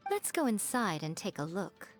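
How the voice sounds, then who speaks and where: A woman's voice: medium pitch, very animated, soft background music. A woman playing a sly, self-assured shrine maiden in a video game, poised and teasing in manner. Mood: composed. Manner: teasing, poised, unhurried explaining. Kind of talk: video game character acting.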